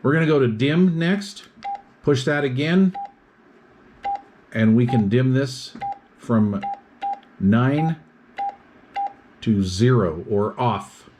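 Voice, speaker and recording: Male product reviewer, mid-pitched, methodical explainer walking through features in short, itemized phrases. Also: noisy background. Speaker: product reviewer demonstrating radio gear.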